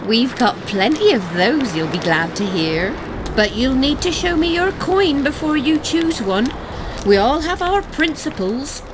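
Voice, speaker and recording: An actress playing a shrewd, flirtatious brothel madam in a video game, with coy, drawling salesmanship. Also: female voice, high voice, very animated, unhurried, background noise. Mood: shrewd, teasing. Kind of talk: dramatic video game acting.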